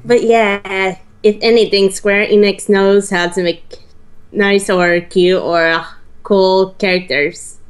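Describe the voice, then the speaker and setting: A woman's voice: mid-range voice, level tone, slow, smooth. A woman chatting casually as a co-player during a video game session. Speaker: co-player and guest commentator. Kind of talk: casual gameplay chat. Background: game music.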